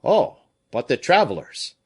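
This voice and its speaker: A man's voice: medium pitch, wildly swooping pitch, unhurried, a little mellow, husky, pitched above the performer's own voice, exaggerated voice. A man doing an eager young nobleman. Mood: curious.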